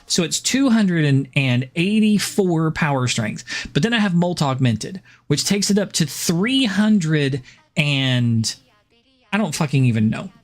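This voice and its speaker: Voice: mid-range voice, animated, a little slow. A game streamer chatting casually about a build, laid-back and often laughing. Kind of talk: casual gameplay chat.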